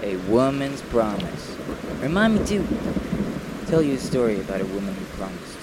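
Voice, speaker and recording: A man playing a brooding, menacing murderer in a radio thriller, taunting and deliberate, with bitterness and sharp commands. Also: lowish voice, big pitch swings, heavy background noise. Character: menacing, brooding male murderer toying with his captive. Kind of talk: classic radio thriller acting.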